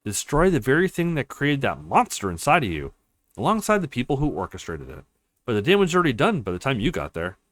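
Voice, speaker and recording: A bitter young woman fighter from a visual novel, read by a man in his male register. The delivery is blunt and reproachful, with pitch that rises and falls freely. It is recorded dry. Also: huge pitch swings, quick pace, no room sound. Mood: grim revealing.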